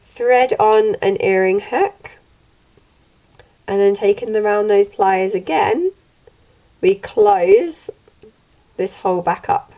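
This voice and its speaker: Slightly croaky woman teaching a craft. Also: medium pitch, slow pace, long pauses, rough recording. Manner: gentle, steady instructional delivery. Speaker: craft channel host. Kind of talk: step-by-step tutorial narration. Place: home room, indoors.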